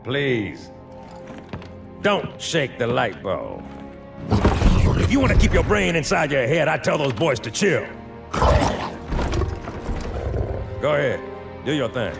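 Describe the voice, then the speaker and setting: Gravelly, cocky American marine sergeant. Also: male voice, mid-range voice, big pitch swings, a little slow, pauses often, echoey room, loud music behind the voice. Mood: sardonic threat. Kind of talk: dramatic video game acting.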